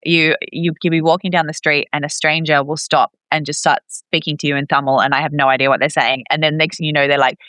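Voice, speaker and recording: Female podcast guest, chatty and expressive, bright forward voice, dry close recording. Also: sits low, quick pace, hardly any pauses, no echo. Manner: chatty, expressive, acts out reported dialogue. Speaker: podcast guest, expat parent. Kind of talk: casual conversational interview.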